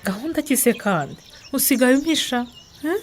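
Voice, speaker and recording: A woman's voice: high voice, lively intonation, fairly quick. A woman playing a teasing village wife in a conversational radio drama. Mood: questioning. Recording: birdsong and background noise.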